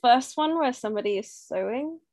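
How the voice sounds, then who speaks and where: Young woman from Thailand, Thai first language, chatty storyteller, fairly high voice, dry sound. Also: moderate pace, no echo. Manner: chatty, animated, laughing easily. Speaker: game participant. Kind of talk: casual personal storytelling.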